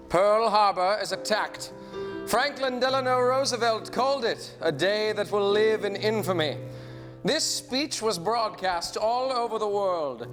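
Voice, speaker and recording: A man plays a grave stage narrator setting a wartime scene. His high voice moves slowly and portentously. It carries long reverb with faint music underneath. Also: a bit bright. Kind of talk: dramatic scripted stage narration.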